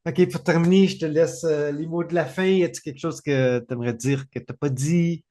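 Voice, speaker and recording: A man with a Quebec French accent hosting a casual interview, speaking haltingly and repeating words. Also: fairly high pitch, fairly even intonation, warm tone. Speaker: interview host.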